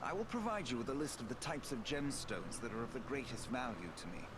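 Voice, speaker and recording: An actor playing a learned, dignified and welcoming elven smith in a fantasy game, formal, measured and explanatory in delivery. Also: male voice, mid-range voice, sing-song, noisy background, natural voice. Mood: earnest, helpful. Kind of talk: fantasy video game voice acting.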